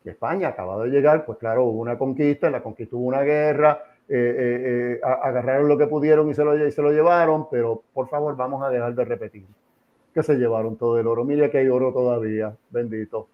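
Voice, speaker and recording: Muffled lo-fi audio, puerto rican guy middle aged or older, mid pitch, opinionated interview talk, preachy and emphatic, repeats himself. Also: fairly even intonation, fast. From Puerto Rico. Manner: emphatic, didactic, repeats phrases and asks rhetorical questions. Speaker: interview guest, association president. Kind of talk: opinionated informal interview on history.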